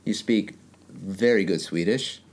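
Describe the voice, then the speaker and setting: A man's voice: on the low side, unhurried. A man interviewing a guest in a casual chat, enthusiastic and slangy, heaping on praise.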